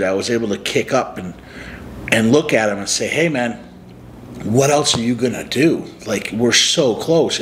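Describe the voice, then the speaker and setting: A man's voice: mid-range voice, a bit bright, a little hiss or hum. A man in his forties from upstate New York, talking earnestly to the camera as a life coach in a small room. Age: 40s. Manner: earnest, reflective, motivational. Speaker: life coach and channel host. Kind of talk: casual talk to camera. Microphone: camera close up, sitting on a couch.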